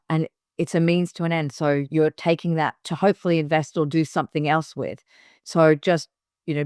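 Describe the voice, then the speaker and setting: A woman's voice: low voice, darkish tone, clear, resonant tone, no room sound, studio quality. A middle-aged woman from Hong Kong, a business founder giving direct, emphatic advice in a casual interview, with frequent fillers and restarts.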